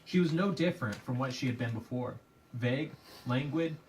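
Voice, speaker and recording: A man narrating a puppet show as dramatic storytelling, in a measured, theatrical, literary manner. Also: medium pitch. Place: live puppet show performance, room. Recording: distant microphone with faint room noise.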